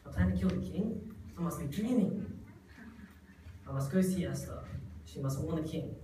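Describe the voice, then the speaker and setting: A man plays a loyal, protective uncle in a puppet show. He declaims earnestly in a fairly high male voice, far from the mic in a very echoey room. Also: expressive, moderate pace, mellow, slight background noise, close to an everyday voice. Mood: alarmed.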